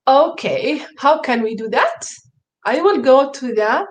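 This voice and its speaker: A fairly high-voiced woman whose first language is Arabic walks teachers through a screen-share tutorial. She speaks slowly and hesitantly, with many fillers and restarts, and sounds enthusiastic. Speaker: teacher presenting.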